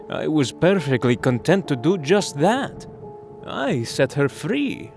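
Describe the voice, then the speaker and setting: A man voicing an ageless, mocking vampire lord in an audio drama, taunting slowly and theatrically. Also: a little high, big pitch swings, a little mellow, full character voice. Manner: silky, taunting, slow and theatrical. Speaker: villain. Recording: music score behind the voice.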